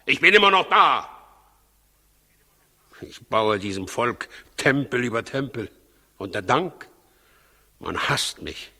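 A hard, forceful voice in a long reverb: an irritable, suspicious old-world king in a 1960s radio play. He is theatrical and imperious, swinging between commanding outbursts and bitter muttering. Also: mid-range voice, exaggerated voice. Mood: defiant outburst. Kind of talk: 1960s German radio drama acting.

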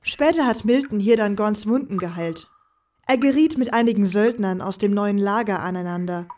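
A woman's voice: medium pitch, normal speed, darkish tone, distant mic, natural voice. A woman playing a teasing, confident, carefree young woman who sneaks about, in a fan audio drama. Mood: matter-of-fact. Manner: breezy, teasing.

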